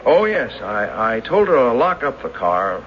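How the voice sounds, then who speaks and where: An actor in a radio drama playing an upright, bewildered middle-aged schoolteacher who speaks haltingly. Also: male voice, mid-range voice, very animated. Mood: anxious, puzzled. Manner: hesitant, halting. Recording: dull, noisy old radio broadcast.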